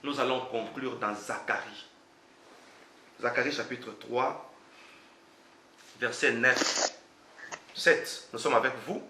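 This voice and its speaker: A man's voice: medium pitch, takes it slowly, long pauses, roomy sound, noisy background. A man preaching a sermon as pastor, emphatic and full of rhetorical questions; French is not his first language.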